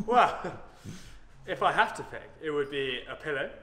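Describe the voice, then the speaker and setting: A man's voice: fairly high pitch, expressive, unhurried. A man in his twenties, a rower, answering questions with giggles and dry humor. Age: 20s. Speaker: rower, interviewee. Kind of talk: casual banter. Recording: echoey boat bay with crowd noise.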